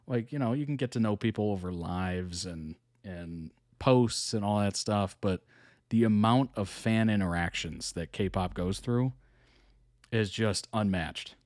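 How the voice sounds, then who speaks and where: A man's voice: fairly low pitch, a little slow, darkish tone, no echo, clean studio sound. A man hosting a reaction video, chatting casually and thinking aloud with frequent laughs. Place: hot indoor room.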